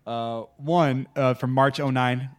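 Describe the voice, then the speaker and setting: A man's voice: mid-range voice, slow, clear, resonant tone. A man hosting a casual podcast, reading listener reviews aloud and joking with his guests. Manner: animated, reads reviews aloud, laughs often. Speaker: podcast host.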